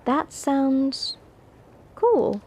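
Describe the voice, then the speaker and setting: Woman voicing a prim upper-class schoolgirl, highish voice, unhurried pace, haughty, teasing, scolding, ASMR roleplay. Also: sing-song, a little mellow, full character voice. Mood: curious, condescending. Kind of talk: ASMR roleplay addressed to the listener.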